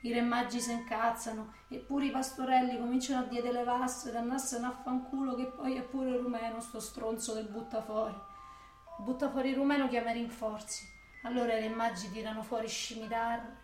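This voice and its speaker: A woman's voice: on the high side, flat delivery, fairly quick, darkish tone, faint music behind, natural voice. An actress playing a volatile, foul-mouthed young working-class woman from Rome in a radio drama, with a Roman accent and coarse slangy delivery. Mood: indignant, bitter. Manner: coarse slangy street talk. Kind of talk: radio drama acting with long monologue. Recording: echoey room with faint noise.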